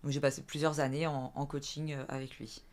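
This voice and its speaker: Low-voiced French woman in her thirties. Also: little pitch movement, a little fast, darkish tone. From France, Vendée. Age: 30s. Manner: hesitant, many filler sounds and restarts. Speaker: guest, fitness coach. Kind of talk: casual interview chat.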